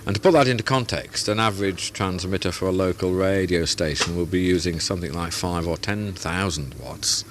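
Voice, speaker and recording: A man's voice: low-pitched, brisk, hardly any pauses, background noise. A man answering interview questions about his hobby club, explaining patiently in plain comparisons. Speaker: radio club founder.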